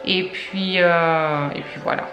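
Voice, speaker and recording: A woman's voice: fairly low pitch, steady tone, slow, dull audio, over music. A woman, a mother filming a casual family vlog at home, rambling with fillers and laughing often. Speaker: mother vlogger. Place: family home indoors, evening. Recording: echoey room with background noise.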